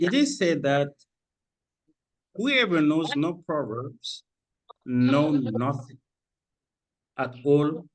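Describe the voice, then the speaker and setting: Senegalese man, slow academic presenter, halting delivery with frequent fillers. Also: fairly high pitch, poor audio. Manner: halting, with many fillers and restarts. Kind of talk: conference paper presentation. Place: conference room.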